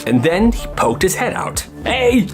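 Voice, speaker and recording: A man telling casual comedic stories in an animated narration, acting out the people he quotes. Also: a little high, normal speed, over music. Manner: acts out quoted characters' lines. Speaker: storyteller for short comedy videos.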